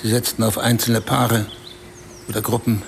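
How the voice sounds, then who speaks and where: A man's voice: mid-range voice, level tone, normal speed, gravelly, a little hiss or hum, lightly acted. An actor in a radio play voicing a bitter, self-pitying man who recounts a wild night in a brooding, confessional way.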